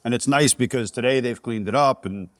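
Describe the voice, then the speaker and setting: A man's voice: mid-range voice, brisk, no echo. Man from new jersey, podcast host, blunt and salty, dry close mic. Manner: blunt, profane, prompting questions. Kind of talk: casual interview chat. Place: pizzeria.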